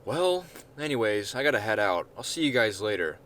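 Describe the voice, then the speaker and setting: A man's voice: lowish voice, big pitch swings, fairly quick, a bit bright. A man voicing a laid-back, unbothered slacker in a story reading, talking in a casual, slangy way. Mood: deflated. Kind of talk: amateur short-story character reading.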